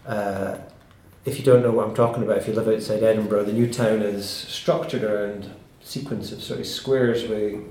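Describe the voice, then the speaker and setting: A man's voice: lowish voice, fairly even intonation, moderate pace, a little mellow. A man speaking as an interviewer in a thoughtful, tentative, conversational way. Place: indoor room with some echo. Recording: roomy, echoing indoor sound.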